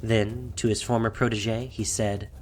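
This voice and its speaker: A man's voice: on the low side, little pitch movement, unhurried, darkish tone. A man reading scripted fantasy fiction as the narrator of an audio drama, in a dramatic storytelling style. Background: faint music.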